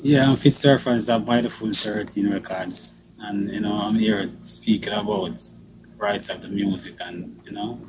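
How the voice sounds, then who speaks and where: A man's voice: lowish voice, steady tone, moderate pace, heavy background noise. A man introducing himself informally as a music industry guest on a radio talk show, over an online call.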